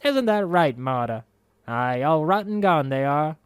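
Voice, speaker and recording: A man's voice: a little high, animated, measured pace, clear, resonant tone, no room sound, pitched above the performer's own voice. A man voicing a sour, lumbering old woman who mutters to herself in a sing-song voice. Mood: indignant.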